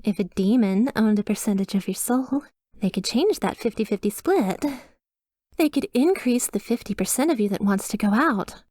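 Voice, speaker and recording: A woman's voice: mid-range voice, darkish tone, dry recording, clean studio sound, natural voice. A woman playing a cheerful demon receptionist in an ASMR roleplay, with polished, reassuring customer-service politeness and a slyly sinister undertone. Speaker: hotel receptionist character.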